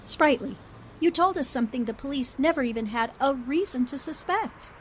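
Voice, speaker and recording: A woman acts out a shrewd newspaper sleuth in a forties radio drama. She sounds brisk, assured and emphatic in a fairly high voice. Light ambient noise is behind her. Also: animated. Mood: determined. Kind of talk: 1940s radio drama acting.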